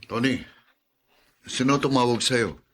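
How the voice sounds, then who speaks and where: A man's voice: mid-range voice, unhurried, a bit bright, natural voice. Concerned, questioning male friend played by an actor. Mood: curious. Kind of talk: radio drama acting.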